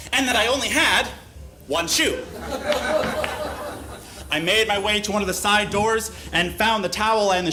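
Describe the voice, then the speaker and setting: A man giving a mock-serious comedic reading of a story live on stage, with deliberate pauses for effect. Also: high-pitched, bright, forceful tone. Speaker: live reader of a humorous letter. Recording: live stage recording with faint noise.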